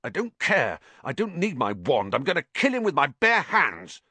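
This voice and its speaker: A man's voice: on the high side, wildly swooping pitch, moderate pace, bright, forceful tone, slightly rough, no room sound, pitched above the performer's own voice, heavily acted. A man voicing a hot-headed schoolboy in a storybook, growling and straining. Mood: furious.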